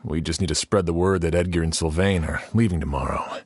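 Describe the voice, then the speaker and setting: An actor playing a weary but fair, authoritative sheriff in a video game. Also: male voice, low voice, a little fast, a little hiss or hum, natural voice. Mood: decisive.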